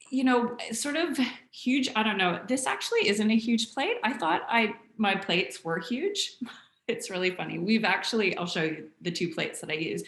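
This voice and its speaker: A woman's voice: medium pitch, fairly bright tone. Canadian-raised woman, chatty, echoey room. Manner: chatty, frequent fillers, keeping voice down. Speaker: nutrition presenter. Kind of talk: casual online presentation. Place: home. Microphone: video call. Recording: echoey room on a video call.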